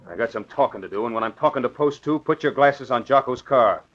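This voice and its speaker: An actor playing a short-tempered, commanding gangster of about forty in 1940s radio style, on a muffled recording. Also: male voice, mid-range voice, quick pace, fairly bright tone, close to an everyday voice. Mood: angry, threatening. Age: 40s. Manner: curt, commanding.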